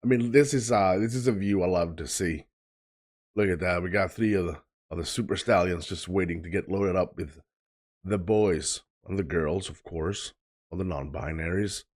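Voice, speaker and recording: A man's voice: deep voice, moderate pace, a little mellow. A young man from Sweden hosting a reaction video, talking casually and loosely with lots of fillers and repeated "of course".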